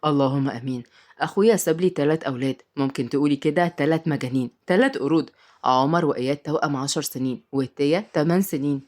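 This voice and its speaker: A woman voicing a courteous, kind adult man, a devoted uncle, speaking politely and gently in an audio story. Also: sits low, quick pace, pitched below the performer's own voice, natural voice. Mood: earnest.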